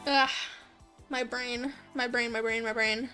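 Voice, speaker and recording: A woman with a high, bright voice chats casually to her livestream viewers. Her delivery is giggly, gushing and full of slang, with music playing softly behind her. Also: fairly even intonation, measured pace, smooth. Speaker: livestreamer, host. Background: light background music.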